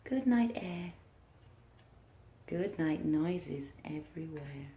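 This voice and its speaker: A woman teacher reading aloud to young children in an encouraging manner, with questions and deliberate pauses. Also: deep voice, lively intonation, warm tone. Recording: roomy, echoing room sound.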